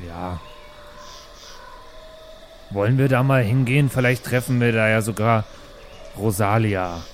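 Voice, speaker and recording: A man's voice: lowish voice, a little slow, slight background noise. A man improvising casual, flippant in-character talk as a player in a tabletop game. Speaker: role-player playing a dwarf brother.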